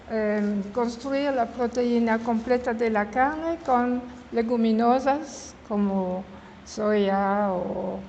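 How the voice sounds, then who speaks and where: A woman in her seventies with a French-speaking Swiss accent addresses a room in a fairly high voice, picking her words very slowly and often correcting herself. Her voice carries a long hall reverb over faint room noise. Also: a little mellow. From Switzerland. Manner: halting, searches for words, corrects herself mid-word. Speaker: guest speaker. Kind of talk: informal public talk. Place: meeting hall in an old house.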